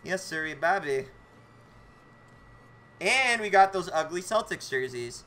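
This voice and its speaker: A man's voice: fairly high pitch, a little slow. A young man with a lisp, chatting casually and jokingly as he live streams a video game, swearing mildly. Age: young adult. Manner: animated, joking, mildly profane. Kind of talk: casual livestream chat with viewers. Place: indoor room at home.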